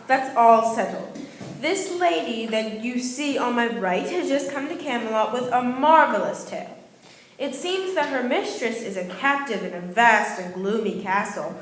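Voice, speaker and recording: A woman's voice: a little high, lively intonation, unhurried, a little hiss or hum. A woman playing a courteous, regal king in a radio play, speaking in a formal, archaic manner. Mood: genial, eager. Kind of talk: stage-like amateur radio play acting. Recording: roomy live room, faint music.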